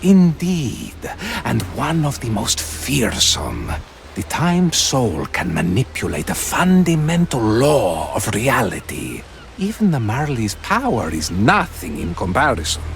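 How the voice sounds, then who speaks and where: Game role: mysterious, mannered male trickster, slow and highly animated, formal, faintly mocking, gravelly. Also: faint music behind, exaggerated voice. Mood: smooth, grave. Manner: theatrical, formal, teasing. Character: sly, courteous, mysterious male trickster guide. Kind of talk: video game dramatic acting.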